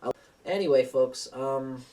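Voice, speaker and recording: A young man giving a relaxed guitar lesson, rambling with plenty of fillers and restarts as he talks through chords and keys. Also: medium pitch, measured pace, far from the mic, low-quality recording. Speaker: guitar teacher. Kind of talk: casual guitar lesson with singing. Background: acoustic guitar.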